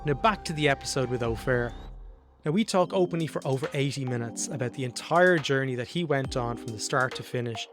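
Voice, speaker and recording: A man's voice: medium pitch, a little fast, music in the background. A man hosting a design podcast, reading an ad and welcoming his guest, with short encouraging replies. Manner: friendly, encouraging with short backchannels. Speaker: podcast host. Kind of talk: podcast interview.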